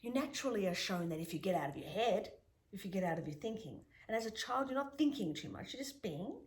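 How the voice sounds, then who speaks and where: A middle-aged woman whose mother tongue is Greek, telling reflective stories in an interview with emphatic rises and soft whispered asides. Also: mid-range voice, expressive, quick pace. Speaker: guest, spiritual healer.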